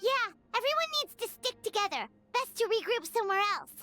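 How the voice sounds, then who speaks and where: A woman's voice: very high voice, very animated, fairly quick, a bit bright, soft background music, exaggerated voice. A woman voicing a tiny, cheerful and earnest childlike companion in a video game. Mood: earnest, encouraging.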